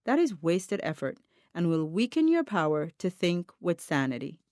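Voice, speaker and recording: Female audiobook narrator for self-help material; expressive, varied pitch, deliberate instructive read, dry studio-like sound. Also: dry recording. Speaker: audiobook reader.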